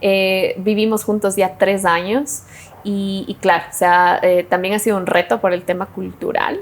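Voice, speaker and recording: A young woman with an Ecuadorian Spanish accent chats as a podcast guest. She tells stories in a lively way and acts out what people said. Her voice sits at a middle pitch. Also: normal speed. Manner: warm storytelling, animated quoting, frequent laughs. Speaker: guest sommelier. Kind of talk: casual interview chat.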